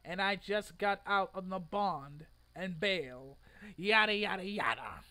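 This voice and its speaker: A man's voice: high voice, animated, slow. A man in his twenties giving an exaggerated, theatrical dramatic reading of a written post at home. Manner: theatrical, exaggerated delivery with sudden loud outbursts. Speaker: online video creator. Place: room at home.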